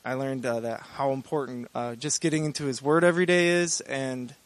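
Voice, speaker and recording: A young man in his twenties from Michigan, a Bible school student giving a personal testimony in an earnest, halting way with many fillers. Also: mid-range voice, fairly even intonation. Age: 20s.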